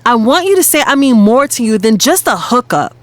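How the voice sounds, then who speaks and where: A woman's voice: on the high side, sing-song, brisk, no echo. A woman playing a torn, sassy lover in an audio drama, yearning for more from her secret affair. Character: torn, sassy cheating lover wanting commitment.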